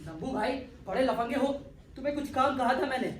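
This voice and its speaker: A man's voice: very high voice, fast talker. A man playing an indignant young spendthrift son in a comic stage play, haughty and complaining. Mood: exasperated. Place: theatre stage, distant microphone. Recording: echoey, noisy stage recording from far off.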